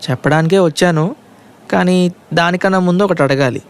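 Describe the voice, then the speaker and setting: A man's voice: fairly high pitch, brisk, creaky, clean tone. A man playing an earnest, awkward young college student in love, in a comedy audio drama. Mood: shy, earnest. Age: young adult.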